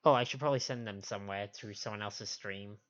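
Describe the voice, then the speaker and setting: A man's voice: lowish voice, fast, a bit bright. A man chatting casually as a livestream host, sniffly, with hesitant, rambling asides. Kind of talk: casual stream chat. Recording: dull lo-fi stream audio, faint music.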